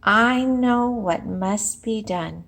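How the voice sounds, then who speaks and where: Woman as a slow, declamatory storybook horse. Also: medium pitch, vocal fry, a bit bright, heavily acted. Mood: glum, resolved. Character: decisive horse speaking up for the glum farm.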